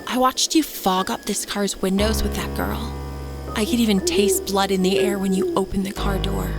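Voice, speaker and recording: Woman voicing a taunting seductive female vampire, loud music. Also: mid-range voice, little pitch movement, natural voice. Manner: teasing, mock-sweet, drawn-out.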